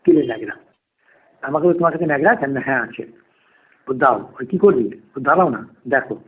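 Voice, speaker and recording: A man's voice: medium pitch, expressive, fast talker, breathy edge. A man, telling a ghost story in the first person over a lo-fi call recording. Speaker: podcast guest, private tutor. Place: remote call to a podcast. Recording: dull, lo-fi phone call recording.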